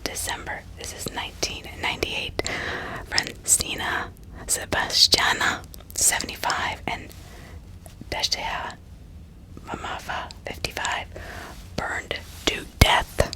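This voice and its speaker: A woman narrating true crime in a breathy ASMR whisper, deliberate and often repeating words and phrases. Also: low-quality recording. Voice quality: breathy, mostly unvoiced whisper. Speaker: ASMR host.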